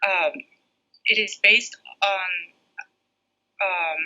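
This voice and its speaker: A middle-aged woman from Japan, raised in the United States, a preschool director giving thoughtful interview answers with many pauses. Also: medium pitch, pushed and bright. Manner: thoughtful, pauses often with fillers. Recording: muffled lo-fi audio.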